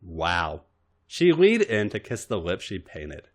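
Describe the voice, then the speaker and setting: Male story reader, lower register, highly animated melody, expressive scripted narration. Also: measured pace.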